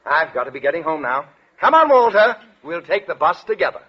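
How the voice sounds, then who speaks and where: An actor playing a brisk, indignant high school principal in a 1940s radio comedy. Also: male voice, big pitch swings, slightly rough. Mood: brisk, bossy. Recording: dull vintage 1940s radio recording.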